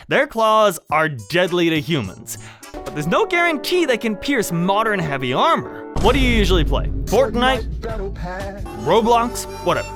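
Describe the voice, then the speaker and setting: A host narrating a casual comedic explainer in a sarcastic, mock-dramatic way, swearing freely. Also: mid-range voice. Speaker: video host. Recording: background music under the voice. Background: music.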